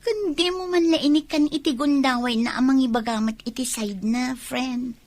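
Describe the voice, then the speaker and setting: A woman's voice: high voice, normal speed, a little mellow, no room sound, close to an everyday voice. A woman playing a concerned young friend in an Ilocano radio drama.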